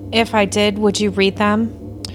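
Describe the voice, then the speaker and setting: A woman playing a composed, dryly superior female alien officer with a measured delivery in an audio play. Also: medium pitch, level tone, slight background noise, close to an everyday voice. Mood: dry exasperation.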